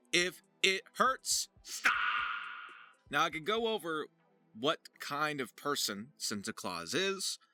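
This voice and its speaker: A man's voice: medium pitch, sharp tone. A man hosting an informal voice-acting tutorial, talking straight to viewers like an earnest coach. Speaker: voice actor and video host.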